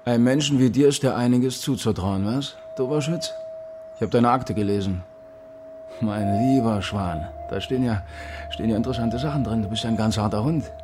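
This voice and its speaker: Casting: male actor as sneering secret police officer, mid-pitched, sarcastic and threatening, radio crime play with music underneath. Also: natural voice. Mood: taunting. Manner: taunting, sarcastic, drawn-out emphasis. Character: menacing, sneering male East German state security officer. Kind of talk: radio drama acting. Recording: music behind the voice.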